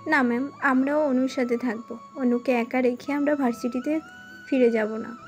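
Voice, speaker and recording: A loyal young college girl voiced by a woman pleads her case in an audio drama. She sounds high and insistent, with faint music underneath. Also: steady tone, normal speed, close to an everyday voice. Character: young female student, loyal and insistent friend.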